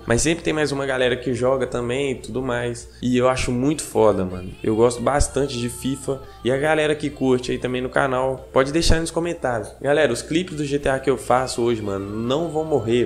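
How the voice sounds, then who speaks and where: A young man hosting a gaming channel, talking casually to his viewers with a hoarse, stuffed-up nasal voice from a cold, slangy and chatty, often checking in with them. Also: mid-range voice. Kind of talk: casual vlog talk to viewers. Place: home gaming setup.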